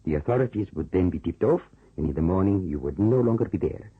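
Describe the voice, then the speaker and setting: An actor in an old radio drama playing a measured, calculating communist officer, speaking formally and deliberately. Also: male voice, sits low, expressive, slightly rough, dry recording, heavily acted. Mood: dry, explanatory. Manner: deliberate, formal, hesitant pauses. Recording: muffled, narrow-band old radio recording.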